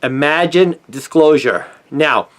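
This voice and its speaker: Higher-pitched man, earnest and emphatic. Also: animated, takes it slowly. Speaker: conspiracy commentator, former newspaper journalist. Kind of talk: scripted opinion monologue.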